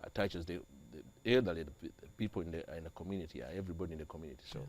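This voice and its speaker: A young man from Uganda, a church music director, speaking as an interview guest with halting, repeated phrases. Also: sits low, breathy edge. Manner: halting, repeats and restarts phrases, grows animated on liturgy. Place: TV studio.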